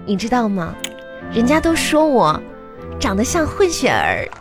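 A woman acting a vain, nagging, excitable wife with a Northeastern Chinese accent in a radio comedy sketch. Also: on the high side, measured pace. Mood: coy, vain. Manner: scolding, theatrical, teasing. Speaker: radio comedy show host. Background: music.

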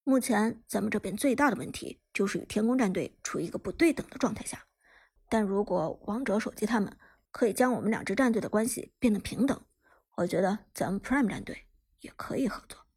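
A woman puts on a composed male esports player in an audiobook novel. He reasons things through in a husky, low-key, measured voice, calm and fair-minded. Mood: calm, pondering.

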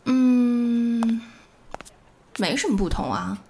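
A woman's voice: on the high side, extremely slow, gravelly, dark tone, a little hiss or hum, heavily acted. A woman playing an ordinary young office worker, polite and wary, narrating and acting in an amateur suspense audio drama. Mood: casual, surprised. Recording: echoey, light music behind.

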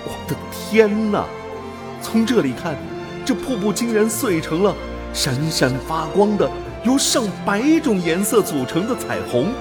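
An actor playing an awestruck space explorer in a radio drama, halting and full of wonder. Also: male voice, high voice, big pitch swings, over music. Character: awestruck space explorer newly transformed into an alien body.

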